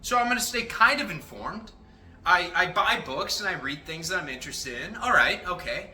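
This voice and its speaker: A young man giving a casual, rambling motivational talk to his viewers, cursing freely and animated. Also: high voice, moderate pace. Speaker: motivational vlogger. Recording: echoey room, faint music.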